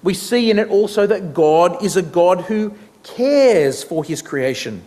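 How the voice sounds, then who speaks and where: An Australian man in his thirties preaches at a measured, unhurried pace, his voice sitting high. He speaks with animated emphasis into a stand mic. The hall around him rings with a long reverb. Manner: animated, big hand gestures while preaching. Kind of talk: sermon. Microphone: stand mic at a lectern.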